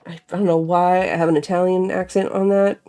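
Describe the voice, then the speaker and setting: Young female vlogger, a touch low-pitched, loose thinking-aloud chat over faint background music. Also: level tone, a little fast, mellow. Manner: chatty, thinks aloud, many fillers like "like". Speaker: crafting vlogger. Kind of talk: casual chat while crafting.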